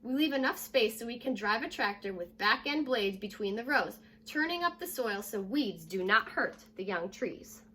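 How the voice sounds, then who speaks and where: A young American woman reading a children's story aloud like a teacher, addressing young listeners directly in a lively way. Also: a little high, moderate pace, few pauses, slight background noise. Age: young adult. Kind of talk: children's read-aloud with short asides. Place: living room at home, indoors.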